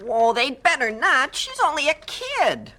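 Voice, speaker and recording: Know-it-all boy, high voice, very animated, opinionated, teasing, explaining, vintage radio drama. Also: unhurried, heavily acted. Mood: indignant. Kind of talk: 1970s radio drama acting.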